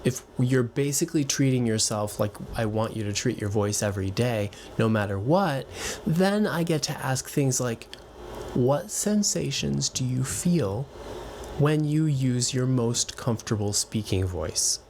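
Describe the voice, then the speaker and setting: A male voice teacher talks directly to his viewers, giving warm-hearted, encouraging advice. He speaks in a relaxed, conversational way, sometimes restarting a phrase, with his pitch sitting squarely in the middle for a man. A faint hiss sits under the recording. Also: a little slow. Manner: gentle, reassuring, encouraging. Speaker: voice and piano teacher. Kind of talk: direct-to-camera advice talk.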